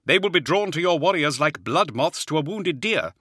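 Man voicing a high-pitched, nervous army colonel. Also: lively intonation, normal speed, sharp tone, slightly rough, no echo, studio quality, full character voice. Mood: anxious, deferential. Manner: hesitant, protesting politely. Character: nervous, deferential male army colonel, anxious under superiors. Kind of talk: audiobook character acting.

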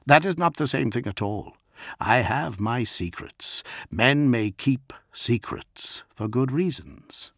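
A man's voice: expressive, slow, no echo. Man playing a brooding warlord, terse growled orders, secretive, dramatic audiobook, very dry close sound. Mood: guarded. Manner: low, growling, terse commands. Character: brooding male warlord primarch, proud, secretive, quietly wrathful.

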